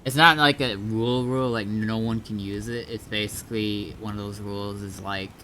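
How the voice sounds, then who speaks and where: A man with a fairly low, bright and forceful voice talks casually over game ambience and faint noise. He explains things in a halting, sweary way, like a streamer and server admin addressing players. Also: fairly even intonation.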